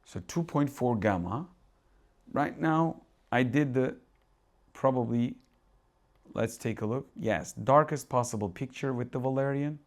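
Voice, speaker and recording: A man's voice: mid-range voice, measured pace. Turkish-accented man demoing gear live. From Turkey. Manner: thinking aloud, restarts and asides while adjusting settings. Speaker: tech reviewer. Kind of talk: casual live product demo. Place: dark home cinema room.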